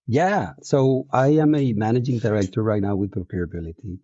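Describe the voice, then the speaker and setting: Colombian-accented guest, a man, lowish and very warm, reflective and chatty, dry close audio. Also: fairly quick, dry recording. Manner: reflective, frequent restarts and fillers, laughs easily. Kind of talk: casual interview chat. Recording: dull, muffled audio.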